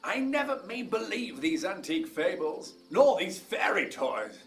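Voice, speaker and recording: A man playing a sceptical, lofty duke, delivering measured oratory in a theatrical Shakespearean audio drama. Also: sits high, big pitch swings, full character voice. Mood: sceptical musing. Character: Theseus, sceptical, lofty male duke reflecting philosophically. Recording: slightly distant, faint music behind.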